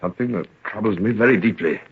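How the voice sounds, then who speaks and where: A man playing a grave, troubled gentleman father in an old-time radio drama, solemn and melodramatic. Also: lowish voice, fast talker, breathy edge. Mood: grave, haunted. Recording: dull, muffled old radio recording.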